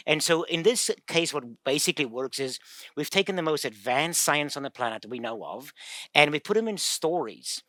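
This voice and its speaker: South African man explaining with bright energy. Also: on the high side, expressive, moderate pace, dry recording. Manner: storytelling, laughs easily, quotes patients. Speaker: guest pain expert. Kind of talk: casual explanatory chat.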